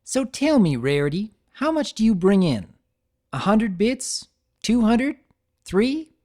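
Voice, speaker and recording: A narrator acts out a folksy farm mare from a cartoon story. The voice is raised and loud, with a blunt, needling, taunting way of talking. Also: mid-range voice, sing-song, slow pace, pitched above the performer's own voice.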